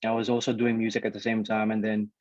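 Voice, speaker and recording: Low-voiced man giving a casual talk. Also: quick pace, no room sound. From Philippines, raised on Guam. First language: Ilocano and Tagalog. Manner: relaxed and chatty, many fillers and restarts. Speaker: alumni guest speaker. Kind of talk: casual presentation on a video call. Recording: dry, slightly dull call audio.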